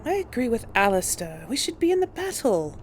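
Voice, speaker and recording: A woman's voice: a little high, big pitch swings, a little fast. A woman hosting a casual video game let's-play, commenting and replying in character. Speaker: gaming host. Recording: clear background game noise.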